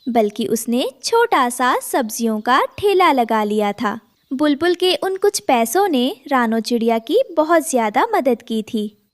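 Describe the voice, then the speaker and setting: High, animated woman storyteller. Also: no room sound. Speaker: narrator. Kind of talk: scripted children's story narration.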